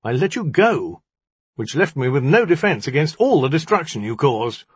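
Man voicing a haughty, raised-pitch young magician. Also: very animated, normal speed, slightly rough, dry recording. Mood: bitter, aggrieved. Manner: haughty, accusing, dramatic. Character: bitter, self-pitying young male magician. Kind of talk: audiobook character dialogue.

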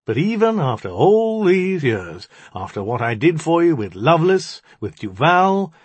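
Man voicing a sardonic, husky lioness jinni. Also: a little high, very animated, unhurried, no echo, pitched above the performer's own voice, heavily acted. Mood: indignant. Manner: sarcastic, colloquial, self-justifying. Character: sardonic, defiant jinni in warrior lioness form. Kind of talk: audiobook character dialogue.

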